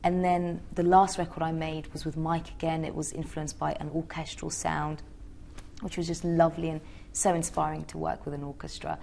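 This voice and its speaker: A woman's voice: low-pitched, little pitch movement, moderate pace, creaky, mellow, slight background noise. A young woman from Georgia answering interview questions in a chatty, hesitant way with many fillers. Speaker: interviewee, singer.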